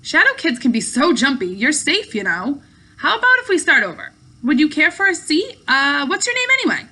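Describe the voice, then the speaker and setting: A woman's voice: high-pitched, huge pitch swings, fairly quick, bright, forceful tone, full character voice. A woman voicing a bold, breezy, sarcastic young teen girl in a storybook read-aloud. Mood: teasing, breezy. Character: bold, flippant young teen girl, mischievous hidden child.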